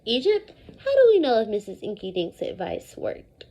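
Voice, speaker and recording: A woman teacher leading an interactive lesson for young children, speaking in an animated, repetitive call-and-response way with deliberate emphasis. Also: fairly high pitch, muffled recording. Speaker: elementary school teacher.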